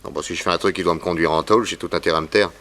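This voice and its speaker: Undercover detective, male, low-pitched, bright hard edge, cocky, slangy, wary, vintage radio crime drama. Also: fairly even intonation, very fast. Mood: wary, bargaining. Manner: insolent, colloquial, wary. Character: male detective posing as a cocky, streetwise metalworker. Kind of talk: 1960s French radio crime serial acting.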